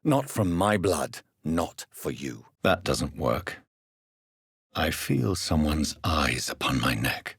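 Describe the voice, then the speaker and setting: A man playing a grave, educated gentleman doctor and vampire in a video game, speaking formally and precisely, courteous but stern, in a husky low voice. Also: very animated, takes it slowly, no echo, studio quality, heavily acted. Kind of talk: scripted video game character dialogue.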